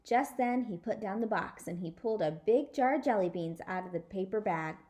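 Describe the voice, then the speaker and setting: Woman, storyteller, children's read-aloud, expressive narration, dramatic emphasis, mid-range voice. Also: mid-range voice, fairly quick. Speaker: storyteller reading a children's chapter book aloud.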